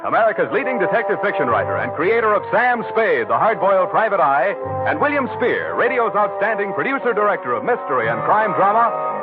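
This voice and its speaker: A man announcing and reading ads on 1940s radio, with a booming sales-pitch delivery. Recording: tinny old radio broadcast.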